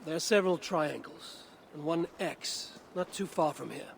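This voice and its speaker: A woman playing a gruff, guarded Viking clan leader in a video game, low-voiced, terse and dry. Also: normal speed, a little hiss or hum. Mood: terse, focused. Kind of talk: dramatic video game dialogue.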